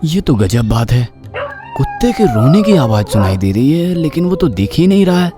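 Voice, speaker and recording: A man playing a puzzled, dutiful police inspector in an animated horror story, in a dramatic, questioning manner. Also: on the high side, moderate pace, music in the background.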